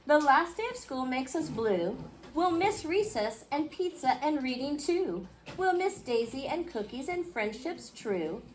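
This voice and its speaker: A woman reading a picture book aloud to a class, expressively, pausing to question her young listeners. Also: high voice, very animated. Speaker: children's storybook reader. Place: indoor school classroom. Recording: clearly noisy classroom recording.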